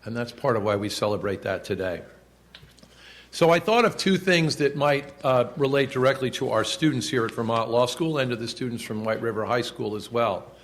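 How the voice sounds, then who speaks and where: Man, 60s, school official at a podium; formal, loud, deliberate delivery. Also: mid-range voice. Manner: measured, reads quotes aloud with emphasis; loud projecting voice. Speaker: school official giving a speech. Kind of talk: formal commemorative speech. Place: indoor event hall.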